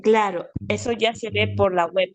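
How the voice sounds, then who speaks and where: A woman leads an online family history class on a video call. She talks in a friendly, unhurried way with plenty of fillers. Her voice sits at a middle pitch, and the recording is dry. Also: fairly even intonation, no echo. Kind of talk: online class, casual explanation.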